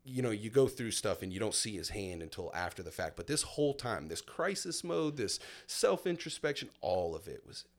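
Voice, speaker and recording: A man's voice: on the low side, sing-song, moderate pace, few pauses. A man in his thirties telling his personal story in a casual, candid conversation, reflective and full of fillers. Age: 30s. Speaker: guest sharing his testimony.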